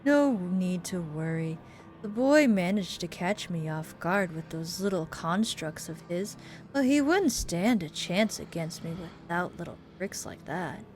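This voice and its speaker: A woman voicing a sinister veiled male monster in a game, speaking in a drawn-out, ominous way. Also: fairly low pitch, expressive, darkish tone, a little hiss or hum, voice pushed down. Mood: calm, insinuating.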